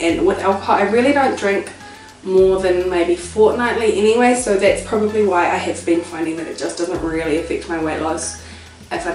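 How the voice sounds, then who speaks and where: A woman's voice: medium pitch, distant mic. Chatty New Zealand woman, cooking vlogger, self-mocking, recorded off-mic in an echoey kitchen with faint music. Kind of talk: casual cooking vlog. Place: home kitchen.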